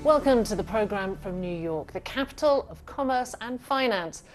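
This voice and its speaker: A woman presenting a television business programme in a clear, measured, emphatic broadcast style. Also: mid-range voice, lively intonation, over music. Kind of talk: broadcast presenting, scripted narration and panel introductions.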